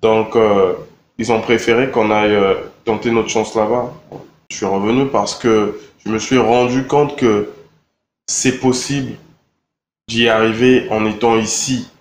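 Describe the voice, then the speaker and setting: A young man from Congo answering interview questions in a relaxed, halting way, with many fillers and repeated words. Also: on the low side, slight background noise. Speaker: rapper interviewed. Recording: echoey room with faint ambience.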